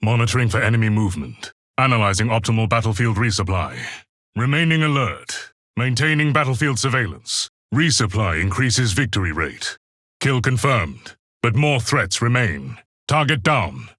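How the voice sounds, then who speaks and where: A man's voice: medium pitch, sing-song, normal speed, fairly bright tone, dry recording, clean studio sound. A man voicing a stern, clinical space marine tactician in a video game, reporting in clipped, formal military phrases. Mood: brisk, alert. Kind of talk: video game combat voice lines.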